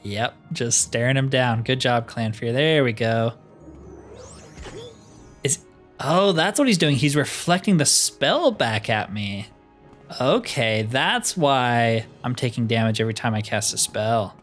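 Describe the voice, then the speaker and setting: A man hosts a gameplay video with music playing behind him. He talks in a mid-pitched voice, casual and easygoing, thinking out loud as he plays. His commentary is chatty and informal. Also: animated, measured pace. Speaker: gaming video host.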